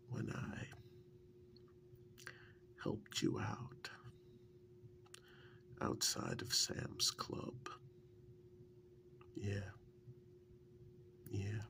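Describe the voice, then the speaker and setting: Male actor as fond wry partner, ASMR role-play, soft half-whisper, thick vocal fry, halting and musing, swinging intonation. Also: lowish voice, exaggerated voice. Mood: nostalgic, wry. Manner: halting, unhurried, musing with many pauses. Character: easygoing, wryly fond long-term partner and former kidnap victim.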